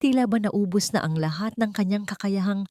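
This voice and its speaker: A woman's voice: medium pitch, a little fast, warm tone, no echo, studio quality. A woman giving a dramatic scripted narration for an audio drama.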